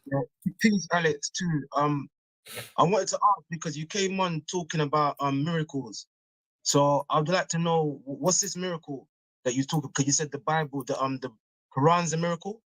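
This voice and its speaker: A man with a fairly high voice, recorded dry and close. He asks his questions politely but keeps pressing for a straight answer, calling the other man brother. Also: moderate pace, fairly bright tone, dry recording, rough recording. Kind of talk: religious debate.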